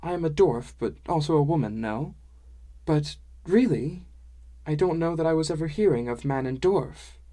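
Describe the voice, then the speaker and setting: Male narrator as dwarf woman, raised pitch, kindly, frank, amused, quaint foreign phrasing, fantasy audiobook. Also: expressive, moderate pace, mellow. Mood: incredulous, frank. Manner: quaint foreign-style phrasing with continuous verbs. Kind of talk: audiobook character dialogue.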